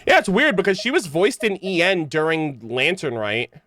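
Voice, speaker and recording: A man's voice: high voice, very animated, moderate pace, fairly bright tone, dry recording. A man giving casual livestream commentary on a game in an enthusiastic, gushing way. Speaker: game streamer. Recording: game music behind.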